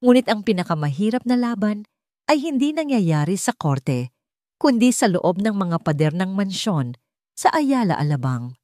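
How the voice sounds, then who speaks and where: A woman's voice: medium pitch, very animated, moderate pace, a little mellow, no room sound, clean studio sound. A woman narrating a scripted dramatic story as its storyteller.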